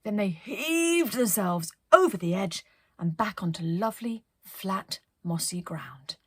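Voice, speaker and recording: A woman narrating a children's story as a storyteller, in an expressive read-aloud style. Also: mid-range voice, measured pace, slightly rough, dry recording.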